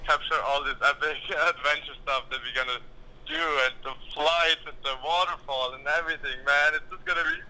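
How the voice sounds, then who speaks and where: A man chatting casually on a phone call, effusive and excited, heard over a speaker. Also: fairly high pitch, moderate pace. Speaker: friend and collaborator on a phone call.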